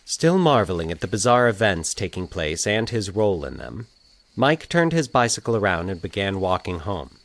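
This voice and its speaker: A man narrating a scripted fiction story in a measured, dramatic storytelling manner. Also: low-pitched, very animated.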